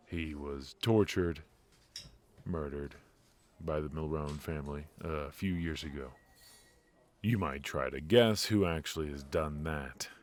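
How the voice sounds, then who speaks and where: An actor playing a brooding, ruthless mafia boss in an intimate noir ASMR roleplay, speaking in a measured, weary, confiding way with heavy pauses. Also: male voice, a bass voice. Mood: bitter, guarded.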